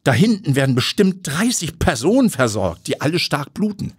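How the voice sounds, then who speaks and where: A man's voice: a little high, sing-song, normal speed, slightly rough, dry recording, clean studio sound, voice pushed up, heavily acted. A man voicing a curious, persistent young woman reporter in an audiobook. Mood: questioning. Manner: inquisitive, persistent.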